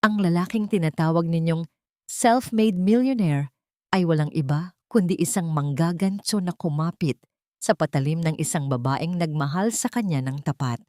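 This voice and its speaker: A woman's voice: on the low side, normal speed, darkish tone, no echo, clean studio sound, natural voice. Stern, commanding Filipino woman acted by a woman. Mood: accusing.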